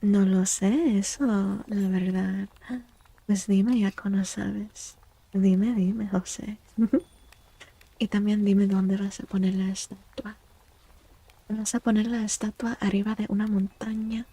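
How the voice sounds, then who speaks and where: A woman speaks softly and slowly in a mellow, husky voice with plenty of fry. She drifts in and out of whispers as she chats gently in an ASMR livestream style. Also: medium pitch, fairly even intonation, no echo. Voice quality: soft, husky, breathy. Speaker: live streamer and tarot reader. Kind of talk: soft-spoken ASMR livestream chat.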